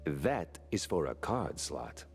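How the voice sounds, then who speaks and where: An actor playing a world-weary, wisecracking mouse private detective in a 1930s noir cartoon game, gruff and deadpan. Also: male voice, a bass voice, slow pace, soft background music, heavily acted. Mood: dry, weary. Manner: deadpan, sardonic.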